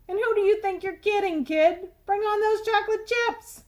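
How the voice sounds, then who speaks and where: A woman's voice: extremely high pitch, moderate pace, darkish tone, pitched above the performer's own voice. A woman voicing a brash, nagging, impatient talking tooth that demands candy in a storybook reading. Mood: greedy, goading.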